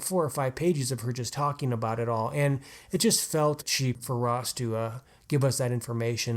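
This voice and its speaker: Earnest man talking about books. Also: medium pitch. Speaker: podcast co-host. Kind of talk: casual book discussion.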